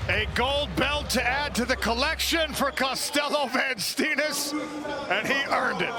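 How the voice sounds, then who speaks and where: Brief: male sports commentator, emphatic, pitched very high, roaring crowd behind him. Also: measured pace, loud music behind the voice. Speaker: fight commentator.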